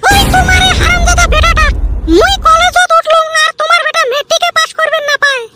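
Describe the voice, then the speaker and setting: Piercingly high, sassy village girl. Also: flat delivery, brisk. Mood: sly, grumbling. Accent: Goalpara rural Bengali dialect. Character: sly, sassy college-age village girl. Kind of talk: comic cartoon dialogue. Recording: loud music under the voice.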